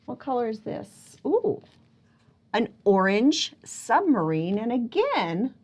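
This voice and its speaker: Animated, unhurried woman leading children's storytime. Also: on the high side. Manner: playful, prompting listeners with questions; exaggerated wondering and exclamations. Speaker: children's librarian and storytime host. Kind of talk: picture book storytime for young children. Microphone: speaking close to a camera.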